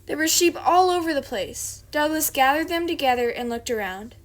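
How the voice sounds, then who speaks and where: A woman with a fairly high voice reads aloud for children. She hosts and narrates in a storybook style. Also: lively intonation, moderate pace, a little hiss or hum. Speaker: storybook reader, video host.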